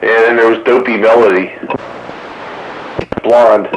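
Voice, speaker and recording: A man's voice: fairly low pitch, fairly even intonation, a little slow. A man hosting a casual, jokey round-table chat over two-way radio. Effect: two-way radio transmission. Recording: CB radio with clear static. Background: radio static.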